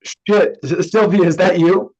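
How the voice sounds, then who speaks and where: A dull, lo-fi recording of an actor playing a sardonic young man in a contemporary stage drama. He is fairly high-voiced and slow, blunt and hesitant, with a wounded edge. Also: steady tone, darkish tone, slightly rough. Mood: flustered, sardonic. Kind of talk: staged reading of a contemporary play.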